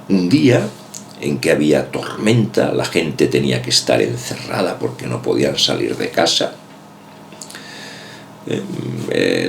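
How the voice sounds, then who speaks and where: A man's voice: low-pitched, a little hiss or hum. A man giving a casual, rambling book commentary, hedging often and repeating himself. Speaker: book vlogger.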